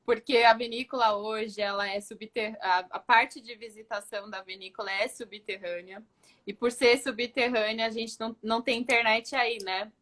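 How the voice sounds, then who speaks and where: A woman's voice: fairly high pitch, fairly even intonation, moderate pace, fairly bright tone. A woman hosting a casual live interview, effusive and enthusiastic as she chats with her guest. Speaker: live stream host.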